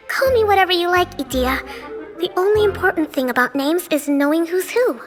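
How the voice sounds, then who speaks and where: A woman voicing a composed, gracious young strategist with a polite, gentle manner in a game cutscene. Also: very high-pitched, animated, normal speed. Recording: game audio with reverb under music.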